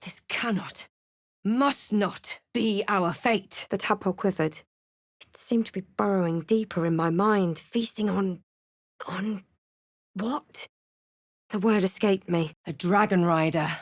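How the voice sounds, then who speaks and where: A woman playing a watchful, wry fantasy adventurer in a video game, recounting her journey in a measured storybook way with dry asides. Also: medium pitch, no echo. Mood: alert, brooding. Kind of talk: video game character voice lines.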